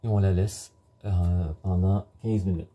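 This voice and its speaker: A middle-aged man giving a step-by-step cooking demonstration in a home kitchen, explaining in short, halting instructional phrases. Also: deep voice, steady tone, mellow. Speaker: home baker presenting a recipe.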